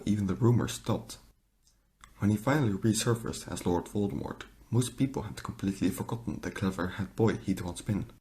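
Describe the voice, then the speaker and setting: A man playing a wise, kindly old wizard headmaster with a measured, formal, grandfatherly manner in an audio drama. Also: low voice, a little mellow.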